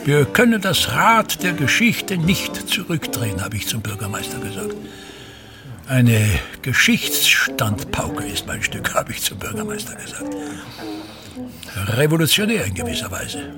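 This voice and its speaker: A man's voice: on the high side, big pitch swings, measured pace, over music. An actor playing a pompous, self-important older theatre man and tyrannical father, declaiming disdainfully and repeating his phrases in a radio drama. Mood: self-satisfied.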